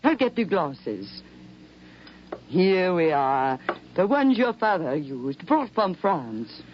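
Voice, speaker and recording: An actress playing a genteel, controlling middle-aged mother, measured, formal and theatrical in the manner of 1950s radio drama. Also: on the low side, sing-song. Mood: brightly gracious. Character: genteel, controlling mother of a grown soldier son. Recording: dull, noisy 1950s radio recording.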